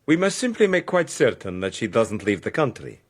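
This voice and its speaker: An actor playing a scheming, smoothly confident royal chief of cabinet in a radio drama, deferential and calmly assured. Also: male voice, medium pitch, moderate pace.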